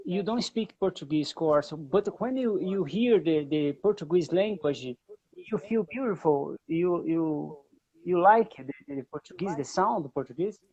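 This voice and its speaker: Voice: medium pitch, expressive, normal speed, warm tone. Host in broken English, dull audio. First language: not English. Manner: broken English, repeats words. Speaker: interview host. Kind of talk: casual interview conversation in English.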